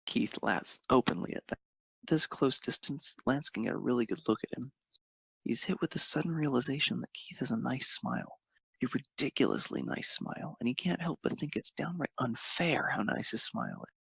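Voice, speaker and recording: Very gravelly, husky male narrator. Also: mid-range voice, big pitch swings, normal speed, a bit bright, dry recording. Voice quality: creaky, husky. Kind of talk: expressive narration of romantic fan fiction.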